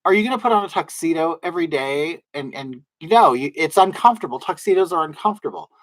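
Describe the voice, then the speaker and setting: A man with a soft, feminine-sounding voice, rambling casually to his livestream viewers with lots of fillers and restarts. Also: on the high side, lively intonation, quick pace, no echo. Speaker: livestream host. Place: at home in drag, wig on.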